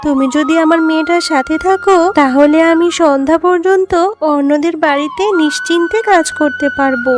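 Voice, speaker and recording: A woman voicing a poor, gentle jackal mother in a children's cartoon, with an earnest, plaintive manner. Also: high-pitched, brisk, hardly any pauses, music in the background, natural voice. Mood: grateful, pleading.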